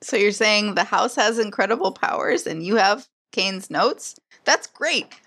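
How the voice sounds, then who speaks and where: A woman speaks as her character in a tabletop game, wry and full of pointed questions. Her pitch swoops widely, and a gravelly fry runs through her voice. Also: lively intonation, measured pace, fairly bright tone. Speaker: guest player.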